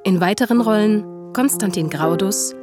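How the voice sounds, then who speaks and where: A woman's voice: mid-range voice, normal speed. A woman reading scripted title and cast credits as a radio announcer, over piano music.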